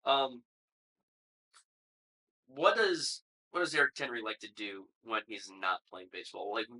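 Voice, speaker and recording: A man's voice: lowish voice, very animated, measured pace, bright, forceful tone, low-quality recording. A young man hosting a casual sports podcast interview, seated in a small room. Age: young adult.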